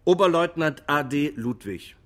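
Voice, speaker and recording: An actor playing a formal male examiner in a radio drama courtroom, putting measured, probing questions to witnesses. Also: a little high, animated, fairly bright tone.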